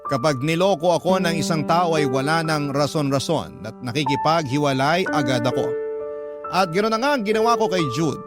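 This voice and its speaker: A man's voice: a little high, animated, brisk. A man reading a scripted first-person story as a radio host and narrator. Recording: loud music under the voice.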